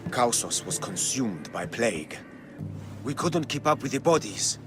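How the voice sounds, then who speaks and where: An actor playing a stern, fanatical priest, solemn and ominous, in a dramatic game cutscene. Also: male voice, mid-range voice, big pitch swings, measured pace, over music. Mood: solemn justification. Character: stern, fanatical male priest, grimly resolved.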